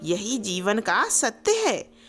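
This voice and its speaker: A woman voicing a calm, wise male holy man who teaches life lessons in a storybook tale. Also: mid-range voice, soft background music, heavily acted. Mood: calm, solemn. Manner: calm, preachy, measured.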